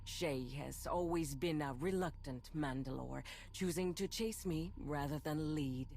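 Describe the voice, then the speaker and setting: A cold warlord woman with a very low voice. She is measured and taunting, born to command, in a game cutscene. Ambient noise sits behind her. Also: a little mellow. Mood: smug menace. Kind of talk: dramatic video game cutscene acting.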